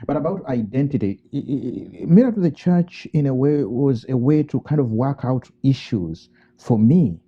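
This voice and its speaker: A man from Uganda, a theologian and priest giving thoughtful interview answers, pausing and restarting and asking himself rhetorical questions. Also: medium pitch, animated, husky. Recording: muffled lo-fi call audio.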